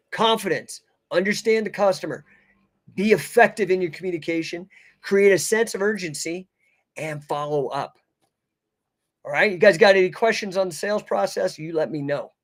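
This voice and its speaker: Punchy, higher-pitched male sales coach. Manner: emphatic, punchy short phrases with slangy buddy address and check-in questions. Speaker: coach and host of an online coaching session. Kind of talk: informal live sales coaching talk to an online audience.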